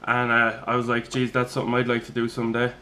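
A man in his twenties with an Irish accent, hosting a casual chat in a flat's kitchen, easygoing and quick to chuckle, heard through one room mic. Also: mid-range voice, a little hiss or hum. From Ireland. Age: 20s. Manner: easygoing, chuckles often, loose with fillers. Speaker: podcast host. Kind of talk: casual chat, telling his own story. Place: kitchen of a flat, indoors.

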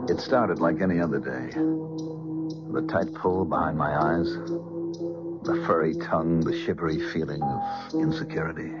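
A man's voice: deep voice, expressive, moderate pace. An actor in a 1970s radio drama playing a weary, self-pitying gambler husband at the end of his rope. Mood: weary, brooding. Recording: muffled vintage radio broadcast, music behind.

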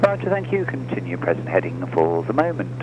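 A man's voice: low voice, wildly swooping pitch, brisk. A man working as an air traffic controller, giving polite procedural instructions over aviation radio. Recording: muffled radio transmission with engine noise.

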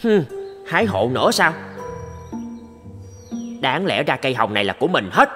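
A man's voice: medium pitch, big pitch swings, normal speed, over music, close to an everyday voice. A man voicing a sly, greedy, selfish young monkey in a children's cartoon, scheming and smug. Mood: greedy, calculating.

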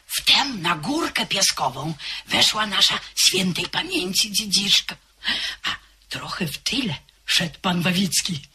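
A simple, devoted old maid who reminisces deferentially to her mistress. Her voice is very bright and lively in pitch, and her pace is slow and unhurried. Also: full character voice. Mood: reminiscing. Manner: deferential, gossipy storyteller. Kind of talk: vintage radio drama acting.

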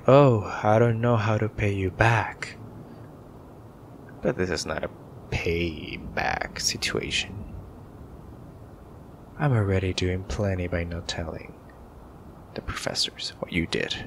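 A man's voice: on the low side, slight background noise. A man playing a grumpy, shy young incubus student in an ASMR roleplay, grudging and hesitant, sometimes half-whispering. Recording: steady rain ambience behind voice.

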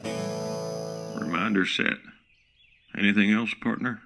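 A man's voice: on the low side, steady tone, slow, music in the background. An actor playing a folksy smart home assistant with a country singer's persona, who keeps boasting about his own titles. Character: folksy country-singer smart speaker, male, middle-aged, self-promoting. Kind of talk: comic radio play.

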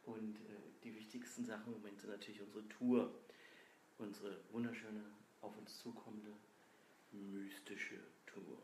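A man's voice: lowish voice, level tone, measured pace, a little mellow. A man with a cold hosting a casual live stream, joking in a mock-formal way. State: has a cold. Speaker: stream host and band member. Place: small indoor room, seated on a sofa. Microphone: camera a few feet away. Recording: roomy with faint noise.

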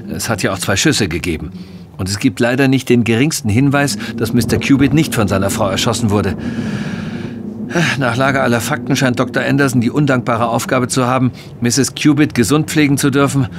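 A male actor as a self-satisfied police inspector in a radio play. He talks bluntly at an average male pitch, brushing things aside in everyday speech. Background ambience is clearly audible. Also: fairly quick, background noise, lightly acted. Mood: smugly certain. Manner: matter-of-fact, dismissive, colloquial. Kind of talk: German audio drama acting.

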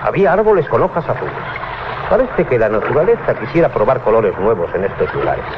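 A man's voice: mid-range voice, big pitch swings, brisk, close to an everyday voice. Guy as a grumbly sailor, kinda wary, very up and down, muffled 80s radio drama. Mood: matter-of-fact. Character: wary, sceptical sailor, loyal male first mate. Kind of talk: 1980s Spanish radio drama acting. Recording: muffled, noisy 1980s radio recording.

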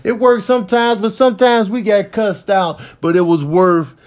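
High-pitched man doing casual comic commentary. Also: fairly even intonation, normal speed. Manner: conversational, slangy, storytelling with mock indignation.

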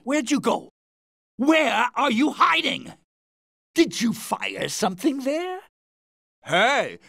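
An actress playing a taunting, sadistic boss fighter in a video game, with mocking, drawn-out delivery. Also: female voice, a little high, vocal fry, a bit bright, dry recording, clean studio sound, exaggerated voice.

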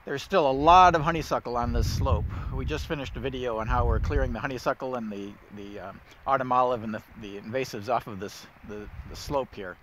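Middle-aged male nature host, eager, chatty, mid pitch, light outdoor ambience. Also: fairly quick, few pauses, a bit bright, a little hiss or hum, poor audio. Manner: enthusiastic, thinks aloud with fillers. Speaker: woodland steward host. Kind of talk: casual nature walk guide. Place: winter woods outdoors.

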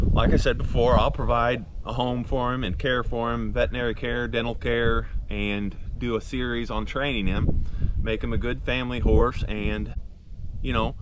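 A man's voice: lowish voice, noisy background. A man in his thirties, a horse trainer, talking earnestly to camera outdoors with many fillers and restarts. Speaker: horse trainer and channel host. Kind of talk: talking to camera, casual update. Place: outdoors in a field by bare trees.

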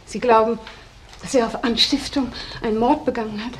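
An actress playing a proud, trusting wife in a crime drama, speaking in husky, halting, deliberate phrases. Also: female voice, fairly high pitch, steady tone, a little hiss or hum, close to an everyday voice. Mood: stunned, brooding. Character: proud, trusting wife defending her suspected husband. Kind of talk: German TV crime drama dialogue.

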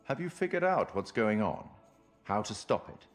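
A sardonic, mysterious curator in a horror game. His low voice swings widely in pitch as he speaks formally, with music behind him. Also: big pitch swings. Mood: wry, probing. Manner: formal, wry, deliberate. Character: enigmatic, sardonic male curator of grim stories. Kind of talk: theatrical scripted monologue to the viewer.

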